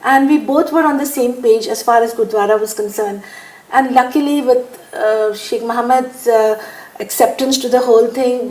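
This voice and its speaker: Older woman from india, slightly high voice, chatty, laughs a lot, echoey room. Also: darkish tone. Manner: chatty, laughs often, frequent fillers. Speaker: interviewee, businesswoman. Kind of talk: relaxed conversational interview.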